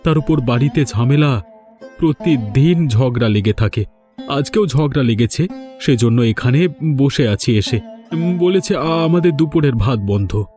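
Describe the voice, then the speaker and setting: A man's voice: medium pitch, expressive, a little slow, dark tone, faint music behind, close to an everyday voice. A man playing a put-upon but determined village fisherman in a cartoon folk tale. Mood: troubled, fed up. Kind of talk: animated folk-tale dialogue.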